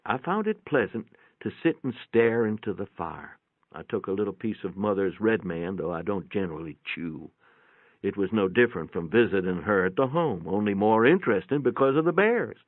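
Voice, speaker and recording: A man giving a dramatic first-person reading of a short story in a measured, reflective way. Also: lowish voice, animated, no echo. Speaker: story reader. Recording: thin band-limited phone-like sound, dry.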